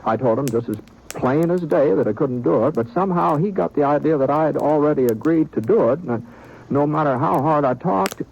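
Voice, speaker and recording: An actor playing an easygoing drifting gunman in an old radio western, with a halting, folksy drawl. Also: on the high side, gravelly, darkish tone, slightly rough, low-quality recording. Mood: narrating. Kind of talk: 1950s radio drama acting. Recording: old radio recording with music behind.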